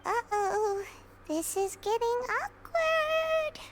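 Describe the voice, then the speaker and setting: A woman voicing a tiny, cheeky fairy companion in a video game with a squeaky voice. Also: expressive, drawn-out words, heavy background noise, exaggerated voice. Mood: uneasy.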